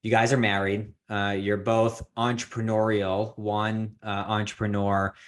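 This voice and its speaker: A male podcast host with a fairly low voice. He runs a casual interview in a halting style, filling his sentences with small hesitations and laughing easily with his guests. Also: level tone. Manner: halting, many filler words, laughs easily.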